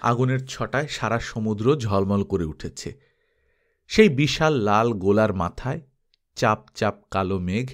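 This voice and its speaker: A man narrating an adventure story as an audiobook, in an expressive, suspenseful, dramatic style. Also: lowish voice.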